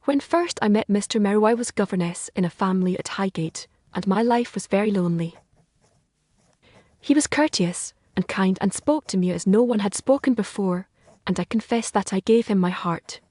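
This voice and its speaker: A woman's voice: mid-range voice, moderate pace, warm tone, dry recording, clean studio sound, natural voice. Earnest, wounded young Victorian governess, played by a woman. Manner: formal, earnest, confessional. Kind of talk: dramatised audiobook character acting.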